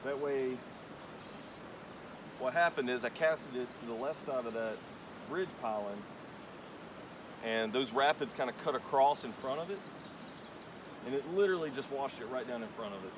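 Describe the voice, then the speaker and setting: A man's voice: medium pitch, background noise. A young man hosting a fishing vlog, chatting casually and explaining his technique while casting from a riverbank, recorded outdoors on a camera set on a tripod. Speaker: fishing channel host. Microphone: tripod camera at a distance. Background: rushing river current.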